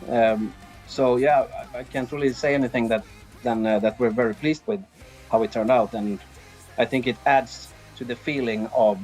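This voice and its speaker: A man's voice: mid-range voice, steady tone, moderate pace, darkish tone, faint music behind, poor audio. A middle-aged man with a Swedish accent, giving hesitant, easygoing answers in a casual interview. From Sweden. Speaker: interviewed band drummer.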